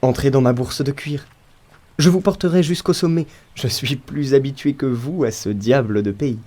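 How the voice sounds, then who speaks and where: A man's voice: mid-range voice, normal speed, dark tone, natural voice. A man playing a sly, stubborn old village blacksmith with a folksy manner in a French audio drama. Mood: sly geniality.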